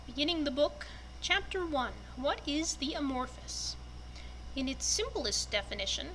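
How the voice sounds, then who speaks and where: A woman giving a deliberate, storybook-like dramatic reading of a written fantasy text. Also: high-pitched, pushed and bright, a little hiss or hum, poor audio. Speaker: reader presenting a fantasy book.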